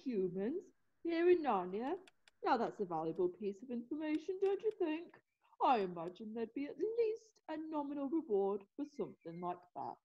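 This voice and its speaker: Woman as a suave, high-voiced sly fox. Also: very animated, normal speed, dull audio. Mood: sly, feigned innocence. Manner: smooth-talking, ironic. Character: suave, sly, witty male fox ally. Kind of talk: amateur screenplay readthrough.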